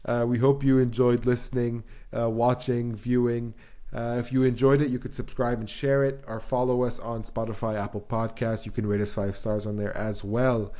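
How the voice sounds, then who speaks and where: A man's voice: medium pitch, little pitch movement, moderate pace, warm tone. A young man hosting a podcast, chatting casually in slangy, swear-prone talk and repeating phrases for emphasis. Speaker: podcast host.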